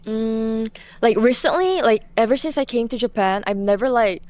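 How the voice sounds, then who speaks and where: Female interviewee from Korea. Clean, smooth tone, no room sound, hesitant and thoughtful conversational delivery. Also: a little high, moderate pace, darkish tone, no echo. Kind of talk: informal interview answer.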